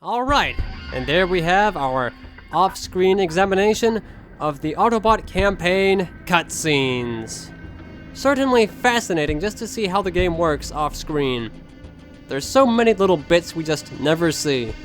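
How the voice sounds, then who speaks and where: A man's voice: sits high, lively intonation, unhurried, a bit bright, soft background music. A young man giving casual commentary as a gaming video creator.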